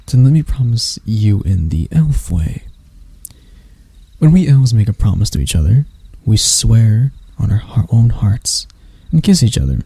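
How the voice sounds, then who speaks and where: A proud, stern elf prince with a softer side, acted by a man for roleplay ASMR. The voice is very warm and dark, with gravelly creak in it, recorded dry and close. He speaks with measured, haughty intimacy, as if to a single captive listener. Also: on the low side, no room sound, heavily acted.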